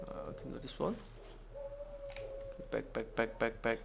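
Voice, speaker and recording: A man with a lively, swinging pitch and a gravelly edge talks through a game casually. His English is halting and non-native, as if thinking out loud. There is faint noise behind him. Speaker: gaming video host. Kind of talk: casual game walkthrough commentary.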